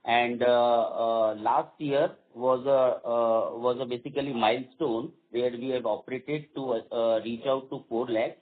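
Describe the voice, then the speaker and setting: A man with an Indian accent answering as a company executive on an earnings call, halting and repeating phrases as he goes. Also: medium pitch, fairly even intonation. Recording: dull lo-fi conference call audio.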